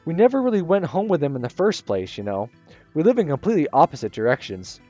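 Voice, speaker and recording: A man voicing an excitable, dramatic teenage girl from a visual novel, whining and gushing. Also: fairly high pitch, fast talker. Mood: whiny gushing. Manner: loud, whiny, gushing. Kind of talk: reading a visual novel aloud. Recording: slightly muffled with soft music.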